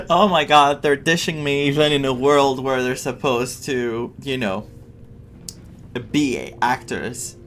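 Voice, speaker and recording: A man's voice: mid-range voice, slow pace, fairly bright tone, a little hiss or hum. A man giving casual playthrough commentary as a game streamer, sometimes reading dialogue aloud. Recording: game music faintly behind.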